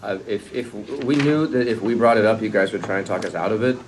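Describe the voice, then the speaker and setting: A man's voice: lowish voice, fast, close to an everyday voice. An actor playing a brash, boastful womanizing man in his thirties, reading his lines at a sitcom table read with a cocky, breezy delivery. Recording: noisy room ambience.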